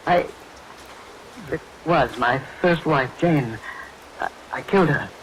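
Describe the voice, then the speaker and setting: An actor playing a nervous, evasive man hiding guilt, halting and apologetic, in vintage radio thriller style. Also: mid-range voice, expressive, poor audio. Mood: pleading, frightened. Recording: vintage radio recording, hiss, rain behind.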